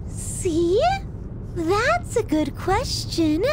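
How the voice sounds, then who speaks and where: A woman plays a lovesick young yandere woman in an ASMR audio drama, clinging and possessive. She speaks slowly and intimately to a silent lover, fragile yet quietly menacing. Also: very high voice, very animated, heavy background noise, heavily acted. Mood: amused, affectionate.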